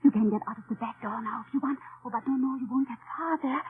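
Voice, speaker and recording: An actress playing a frightened, emotional young resistance woman with a husky voice in an old-time radio drama. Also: a little high. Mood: urgent, pleading. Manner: emotional, halting. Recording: tinny vintage radio recording.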